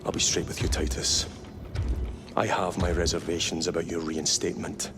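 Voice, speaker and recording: An actor playing a stern male warrior captain who gives blunt, measured orders in a game. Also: low-pitched, level tone, music in the background. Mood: guarded.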